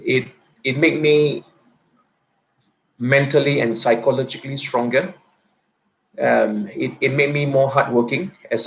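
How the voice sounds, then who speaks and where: A man in his thirties, a teacher, giving a personal reflective talk, speaking haltingly with a stutter and frequent repeats and restarts. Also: medium pitch, rough recording. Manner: soft-spoken and introverted.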